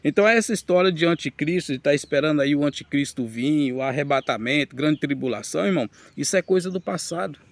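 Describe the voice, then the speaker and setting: A man's voice: a little high, animated, fast, hardly any pauses. A man preaching a teaching sermon, reading scripture aloud and addressing his listeners directly with emphasis.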